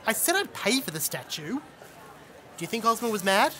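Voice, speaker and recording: A man's voice: high-pitched, sing-song, moderate pace, pushed and bright, a little hiss or hum, full character voice. A man playing a touchy, chattering living scarecrow, a scatterbrained former king, in an audio drama. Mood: sheepish. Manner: scatterbrained, defensive.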